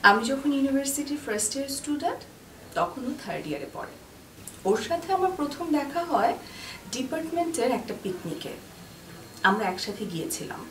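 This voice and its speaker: A woman's voice: sits high, big pitch swings, moderate pace, a bit bright, slight background noise, rough recording. An actress playing a sentimental married woman, telling her love story in a girlish, conversational Bangladeshi voice in a TV drama. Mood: fond, nostalgic. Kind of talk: TV drama dialogue, intimate storytelling. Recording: music bed under the voice.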